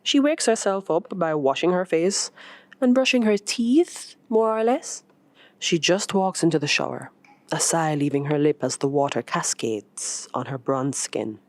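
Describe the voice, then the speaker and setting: A woman reading a short story as scripted narration, in an expressive, measured storytelling manner. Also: sits low, no room sound. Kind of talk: scripted narration of literary fiction.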